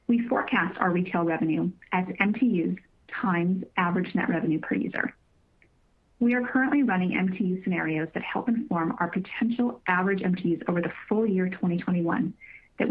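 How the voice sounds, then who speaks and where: A woman with a fairly low voice delivers scripted financial remarks over a narrow, tinny conference-call line. She sounds formal and measured, like an executive presenting to investors. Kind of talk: prepared corporate presentation, scripted.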